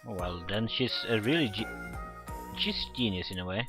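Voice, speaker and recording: Low-voiced man doing a let's play. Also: lively intonation, moderate pace, a bit bright. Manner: informal, stumbles over text and reacts aloud. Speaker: let's player reading a visual novel aloud. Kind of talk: casual let's play read-aloud with ad-libbed reactions. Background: visual novel game music.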